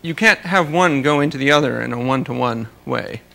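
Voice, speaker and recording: A man's voice: mid-range voice, a little fast, vocal fry, sharp tone. A man giving an informal research lecture, explaining technical material with careful hedges and wry, dry asides. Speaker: astronomer giving a research talk.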